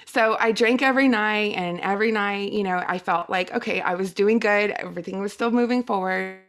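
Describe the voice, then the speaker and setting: A woman's voice: medium pitch, brisk, fairly bright tone. A middle-aged woman whose first language is Spanish, giving a personal testimonial talk as a guest speaker in an earnest, emphatic manner.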